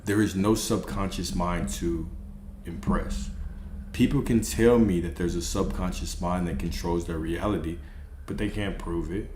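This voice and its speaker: A man's voice: low-pitched, a little slow, a little mellow, roomy sound, slight background noise. A man hosting a live stream, giving an emphatic spiritual pep talk and repeating his key phrases.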